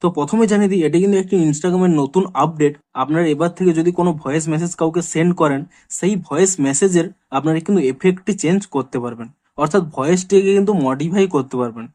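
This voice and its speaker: A man's voice: fairly high pitch, fast talker, darkish tone. A man hosting a tech tutorial, narrating step by step and addressing viewers directly in a repetitive, instructional way. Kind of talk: scripted how-to screen walkthrough narration.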